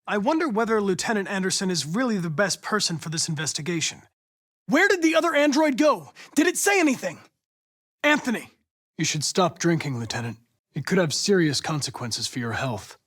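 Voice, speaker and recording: A polite, determined young male android investigator for a video game. His voice sits fairly high for a man and swings widely in pitch. He speaks in formal, exact, controlled phrases, set on his police mission. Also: very animated, fairly quick, a bit bright, dry recording, studio quality, full character voice. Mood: formal, firm, then tense. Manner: formal, precise, controlled diction.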